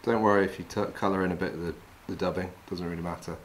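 A middle-aged man from England explains fly tying step by step in a calm, practical way. His voice sits fairly low. He was recorded indoors in a wooden lodge, with a faint hum of room ambience behind him. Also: rapid-fire. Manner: calm, practical, talks while working with his hands. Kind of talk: step-by-step demonstration.

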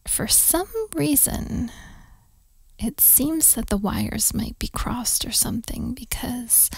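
A woman playing a needy, affectionate young girlfriend in an intimate ASMR roleplay, teasing and breathy, often slipping toward a whisper. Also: fairly high pitch, darkish tone, dry recording, full character voice. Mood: playful, teasing. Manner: slow, teasing, affectionate, giggly. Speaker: audio roleplay performer. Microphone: close microphone, dry sound.

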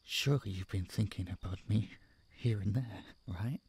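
A man playing an obsessive, doting yet menacing masked kidnapper in an audio roleplay, speaking softly and tauntingly to his captive. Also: fairly low pitch, vocal fry, breathy edge, heavily acted. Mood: possessive, playful. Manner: soft, taunting, condescending, drawn-out murmurs and whispers. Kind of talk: intimate audio roleplay monologue addressed to the listener.

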